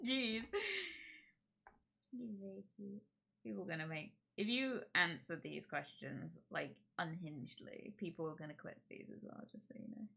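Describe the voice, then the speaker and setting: Woman streamer, rambling and giggly, bright tone. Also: fairly low pitch, lively intonation, measured pace, creaky, husky. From Czech. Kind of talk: casual live-stream chat.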